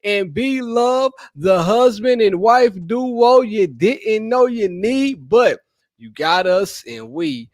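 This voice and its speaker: Hyped high-voiced man hosting a podcast. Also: expressive, slow, dry recording, clean studio sound. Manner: playful, hyped, slangy banter. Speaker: podcast host. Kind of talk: casual chat with spouse.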